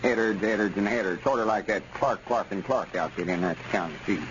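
Radio comedy: self-important Southern rustic man , male actor, fairly low pitch, noisy 1930s recording. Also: brisk, fairly bright tone, lo-fi sound, natural voice. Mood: boastful. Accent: rural Southern US. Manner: pompous, boastful. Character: Lum, vain, self-important backwoods man, would-be lawyer.

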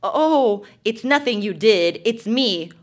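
A woman's voice: fairly high pitch, expressive, slow. Woman mimicking an awkward, sulky teenage boyfriend. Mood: hesitant, glum.

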